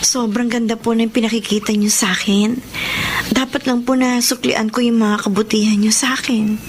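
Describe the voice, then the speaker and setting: An actress playing an earnest, worried young student in a radio drama. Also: female voice, a little high, fairly even intonation, moderate pace, slight background noise, close to an everyday voice. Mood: pleading, respectful. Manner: earnest, polite. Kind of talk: radio drama acting and narration.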